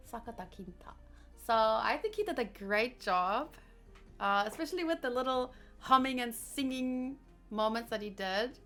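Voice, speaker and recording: Chatty young woman reviewer. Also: on the high side. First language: Afrikaans. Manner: rambling, quick, with bursts of excitement. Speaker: anime reaction vlogger. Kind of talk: casual review chat.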